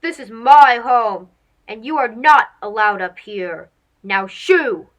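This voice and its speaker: A woman voicing a cranky, selfish old male monkey with a gruff, scolding manner in a children's storybook. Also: fairly high pitch, expressive, slow, low-quality recording. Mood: indignant, scolding.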